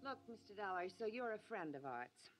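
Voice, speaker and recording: A woman's voice: medium pitch, sing-song, a little fast, music in the background, natural voice. A woman playing a cold, defiant estranged wife in a 1950s radio drama. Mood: icy.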